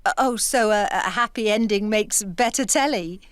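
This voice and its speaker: A woman's voice: mid-range voice, moderate pace, clear, resonant tone, dry recording, studio quality, natural voice. A woman acting in an audio drama as a shrewd, self-assured writer, speaking in a composed and pointed way. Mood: sceptical, challenging. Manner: composed, pointed, quietly assertive. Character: shrewd, self-assured woman writer secretly behind a male pen name.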